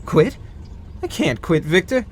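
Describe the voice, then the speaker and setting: An actor playing a jittery, eccentric young cartoon bootlegger, wordy, theatrical and prone to rambling and hesitating. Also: male voice, high-pitched, big pitch swings, measured pace, a little hiss or hum. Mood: rambling, hesitant.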